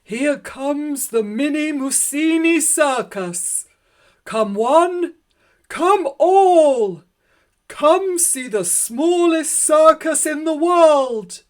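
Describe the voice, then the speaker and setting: Kindly old circus clown turned showman, read by a woman, theatrical and unhurried, for a children's storybook. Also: high-pitched, lively intonation, darkish tone, voice pushed up, exaggerated voice. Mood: loud, rousing. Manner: theatrical, proclaiming showman calls. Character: retired old male circus clown, kindly, showy ringmaster.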